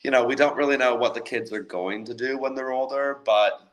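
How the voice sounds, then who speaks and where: A man's voice: medium pitch, steady tone, brisk. A Canadian man chatting casually as a guest, easygoing and laughing lightly now and then. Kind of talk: casual interview chat.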